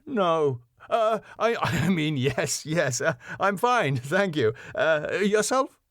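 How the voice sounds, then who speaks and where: A man's voice: a little high, big pitch swings, takes it slowly, a bit bright, dry recording, studio quality, voice pushed up, full character voice. A man voicing a flustered club owner in a sitcom, stammering, hesitant and eager to please. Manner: stammering, hesitant, over-apologetic. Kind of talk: sitcom script read aloud.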